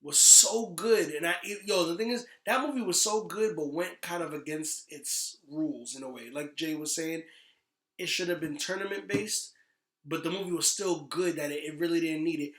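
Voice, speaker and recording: Higher-voiced man in an echoey room, slangy. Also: moderate pace, fairly bright tone. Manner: slangy, emphatic, swearing. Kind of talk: casual podcast chat about movies and games. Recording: echoey, roomy podcast recording.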